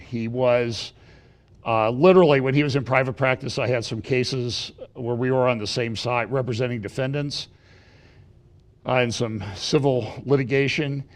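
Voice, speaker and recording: A middle-aged man, slightly raspy from feeling unwell, giving a rambling prophecy update at church with many fillers and restarts. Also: mid-range voice. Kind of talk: casual prophecy update talk.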